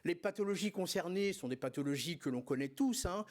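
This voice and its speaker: A man's voice: sits high, expressive, a little fast, darkish tone. A man presenting a spine surgery lecture at a congress, didactic and emphatic, with frequent hesitations and filler words. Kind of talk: conference lecture.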